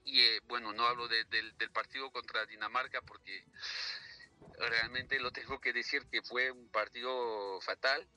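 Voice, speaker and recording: Guy on radio, spain-style with tinge, really bright voice, pausing for words, bit of music. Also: medium pitch, steady tone, rough recording. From France. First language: French. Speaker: interview guest from the French community. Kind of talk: casual radio interview answers.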